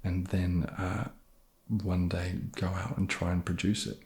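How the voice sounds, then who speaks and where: A man answering questions in a soft-spoken, casual, laid-back way with dry humour. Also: low voice, monotone, a little slow. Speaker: ASMR video creator answering fan questions.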